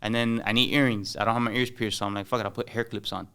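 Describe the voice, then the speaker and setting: A man's voice: lowish voice, steady tone, quick pace, sharp tone, smooth. A young man from Miami with a Cuban-American accent, chatting casually as a podcast guest, slangy and loose, dropping in Spanish words. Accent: Miami Cuban-American English. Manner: loose slangy talk mixing in Spanish, many "like"s and "bro"s. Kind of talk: casual chat.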